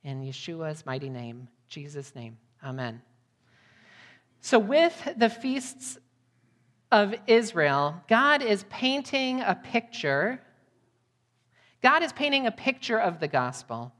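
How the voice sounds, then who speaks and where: A woman's voice: mid-range voice, big pitch swings, takes it slowly, long pauses, a bit bright. An American woman giving a sermon to a congregation as a guest missionary preacher. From United States, Midwest. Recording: long hall reverb.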